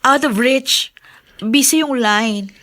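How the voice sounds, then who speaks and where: A Filipino actress playing a concerned, respectful young daughter in a radio drama, polite and earnest. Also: female voice, high-pitched, slow pace, bright, forceful tone, heavily acted. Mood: worried. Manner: polite, earnest, mixes in English.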